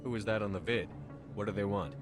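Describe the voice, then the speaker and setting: Male actor as game commander, very low voice, calm, resolute, terse, loud music. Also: fast talker, lightly acted. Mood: calm, assured. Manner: firm, terse. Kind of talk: video game voice acting.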